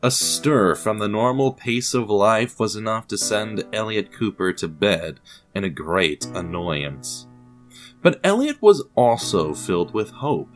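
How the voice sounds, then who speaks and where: Male narrator for radio drama, lower register, measured and theatrical, deliberate pauses, light music bed. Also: expressive. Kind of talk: scripted dramatic story narration.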